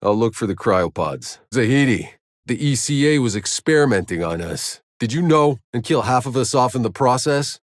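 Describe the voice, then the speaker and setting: A man playing a gruff, weary, sardonic sci-fi soldier in a video game, with terse, dry, world-weary delivery. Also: medium pitch, animated, moderate pace, no room sound, natural voice. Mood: urgent, businesslike. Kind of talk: video game character voice lines.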